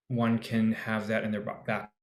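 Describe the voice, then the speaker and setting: A man's voice: fairly low pitch, completely flat delivery, normal speed. A man in his forties, an actor on a discussion panel, talking casually and searching for his words with many restarts. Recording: echoey room.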